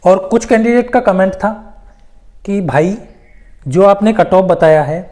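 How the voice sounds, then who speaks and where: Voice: mid-range voice, measured pace, dark tone. Explainer, emphatic and repetitive. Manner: repetitive, emphatic explaining with English loanwords mixed in. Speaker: presenter of exam news updates. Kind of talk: informal explainer addressed to exam candidates.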